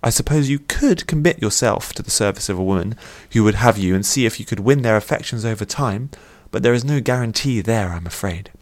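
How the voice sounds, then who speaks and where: A man's voice: medium pitch, fairly quick, few pauses, pitched above the performer's own voice, lightly acted. A man voicing a young princess in an audiobook, poised and playful, with formal, courtly phrasing. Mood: playful, persuasive. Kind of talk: audiobook character voice.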